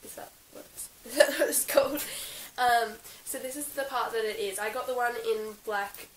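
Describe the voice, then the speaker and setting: A young Australian woman with a croaky, under-the-weather voice chats through her favourite gear, full of giggles, ums and likes. She sits a little back from the mic in an echoey room, with a faint hiss running underneath. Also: a little high, normal speed. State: croaky from being sick. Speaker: equestrian vlogger. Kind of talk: casual show-and-tell vlog. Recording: roomy, slightly distant with hiss.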